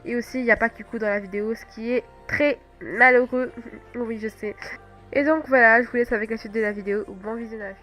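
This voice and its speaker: A woman's voice: fairly high pitch, fairly even intonation, fairly quick, fairly bright tone, soft background music, poor audio. A young woman with a cold-stuffed nose, chatting casually over a game she plays and often making fun of herself. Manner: chatty, self-mocking, laughs often. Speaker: gaming channel host. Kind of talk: casual gameplay commentary.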